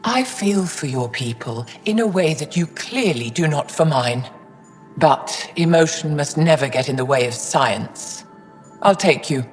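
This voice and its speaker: A woman's voice: low-pitched, lively intonation, measured pace, long reverb tail, faint music behind. An actress playing a proud, stern older female alien scientist in a video game, speaking formally and pointedly. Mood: cool, resolved. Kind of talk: dramatic video game acting.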